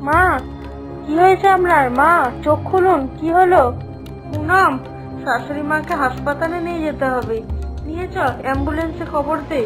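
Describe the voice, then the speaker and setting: A bossy young elder daughter-in-law from a family cartoon, acted by a woman. Her voice is very high and hot-tempered, over faint music. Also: normal speed, long pauses, lightly acted. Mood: panicked. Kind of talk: Bengali moral-story cartoon voice acting.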